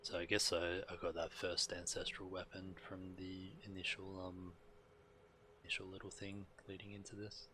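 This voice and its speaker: A man with a deep, very even voice gives casual game commentary and reads text aloud. His tone is clear-edged and bright but his delivery stays measured and subdued, over faint background noise. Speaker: video game streamer.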